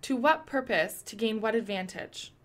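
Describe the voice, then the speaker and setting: A woman playing a determined leader of an urgent investigation in a radio play, speaking in a brisk, probing, blunt way. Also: fairly high pitch, lively intonation, close to an everyday voice.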